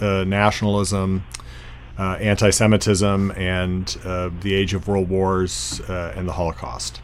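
A male historian on a panel, speaking haltingly with many fillers. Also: low voice, slight background noise.

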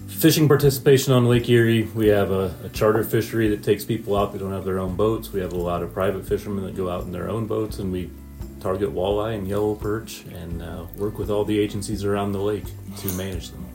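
A man in his forties from Northwest Ohio, a fisheries administrator answering interview questions in a measured way with frequent pauses and restarts. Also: on the low side, steady tone, creaky, a little mellow, soft background music.

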